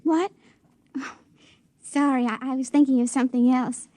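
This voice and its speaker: An actress playing a tender, trusting young woman in a 1950s radio drama, speaking softly and hesitantly. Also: high voice, steady tone, normal speed, dry recording, natural voice. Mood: hopeful. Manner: hesitant, earnest.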